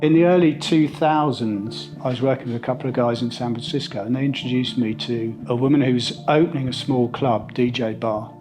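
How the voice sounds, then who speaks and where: Middle-aged male installer, mid-pitched, conversational and enthusiastic interview read, music bed underneath. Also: darkish tone, low-quality recording. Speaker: sound system installer interviewee. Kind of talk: reflective interview testimonial.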